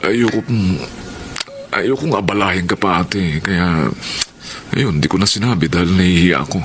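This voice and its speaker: An actor playing an affectionate, debt-burdened younger brother in a Filipino radio drama, emotive, hesitant and respectful. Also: male voice, low-pitched, normal speed, a bit bright. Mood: ashamed, worried. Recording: clear background noise.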